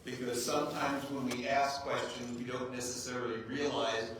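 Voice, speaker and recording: A rabbi joking with a crowd in an echoey hall. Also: male voice, medium pitch, little pitch movement, moderate pace, fairly bright tone. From United States. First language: English. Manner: conversational, self-deprecating humor, frequent "right?" check-ins. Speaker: rabbi giving a community talk. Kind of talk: informal public talk to a group. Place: large echoey room with an audience. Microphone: clip-on mic, heard from a distance. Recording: very echoey hall, distant mic, faint noise.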